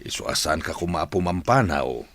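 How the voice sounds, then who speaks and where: A man playing an affectionate, watchful middle-aged father in a family audio drama, with a measured paternal manner. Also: low voice, expressive, a bit bright, no echo.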